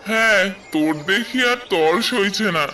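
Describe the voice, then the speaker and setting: A man's voice: high voice, measured pace, pushed and bright, distant mic. A man voicing a joking young male monkey in a cartoon, a loyal and teasing friend. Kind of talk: cartoon dialogue dub.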